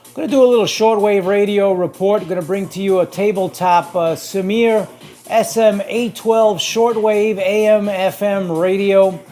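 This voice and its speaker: A man's voice: high voice, steady tone, moderate pace, warm tone, soft background music. A man casually narrating a radio band scan as host, announcing frequencies like a DJ.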